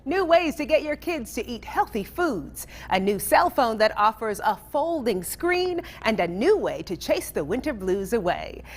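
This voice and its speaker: A woman's voice: medium pitch, a little hiss or hum. An American woman hosting a news show, reading scripted items as a presenter.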